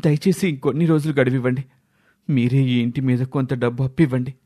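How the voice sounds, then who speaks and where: A man's voice: mid-range voice, fairly even intonation, fairly quick, warm tone, lightly acted. A man playing a dutiful young son, earnest and resolute, in a dramatised moral story. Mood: pleading.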